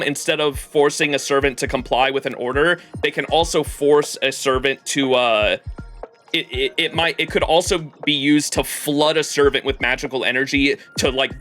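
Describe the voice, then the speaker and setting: A man with a bright, forward voice talks through a live game stream. He explains things in a rambling, enthusiastic, casual way and swears now and then. Game music plays softly behind him. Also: mid-range voice.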